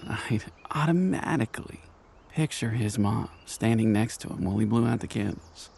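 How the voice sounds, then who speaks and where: Casting: male actor as grieving middle-aged father, soft dark tone, husky, halting and tender, emotional drama. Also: mid-range voice. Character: tearful middle-aged father grieving his grown son's departure. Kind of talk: emotional audio drama dialogue.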